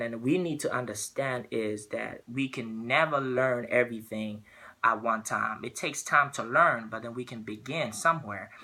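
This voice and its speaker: A man's voice: medium pitch, bright, forceful tone. Earnest man from Ghana giving a speech. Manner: earnest, repeats words while searching for phrasing. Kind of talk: persuasive speech.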